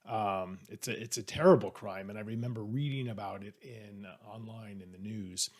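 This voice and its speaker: A man's voice: on the low side, normal speed, a little mellow. Man author chatting off the cuff. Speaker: author presenting a trivia question. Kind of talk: casual, off-the-cuff talk to camera.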